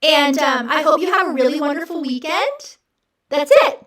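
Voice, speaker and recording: A middle-aged woman hosting a casual livestream, chatty and encouraging in an animated way. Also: high voice, normal speed, reverberant, distant mic. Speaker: host.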